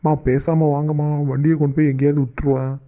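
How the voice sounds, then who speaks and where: A man's voice: fairly high pitch, flat delivery, fast talker, lightly acted. A man voicing a stern, irritated cartoon bus driver with a curt, scolding manner. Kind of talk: cartoon comedy skit dialogue. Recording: tinny phone-like narrow band.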